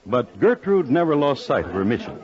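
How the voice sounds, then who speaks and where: A man's voice: medium pitch, very animated, a little fast, a little mellow. A man narrating an old-time radio crime drama as a wry, formal storyteller. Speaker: narrator and host. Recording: dull lo-fi old radio recording. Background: music.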